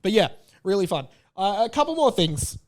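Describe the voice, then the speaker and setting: A man hosting his own podcast, chatting casually on his own in an animated, rambling way, often restarting sentences and sounding openly incredulous. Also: high-pitched, normal speed. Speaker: podcast host. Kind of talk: casual solo podcast chat.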